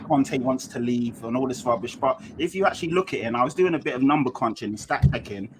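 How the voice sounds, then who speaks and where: A London man with a mid-pitched voice talks football as a guest fan. He explains his points in slangy, emphatic repetition, over clearly audible background noise on an online call. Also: lively intonation, fast. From London, England. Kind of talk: casual football chat.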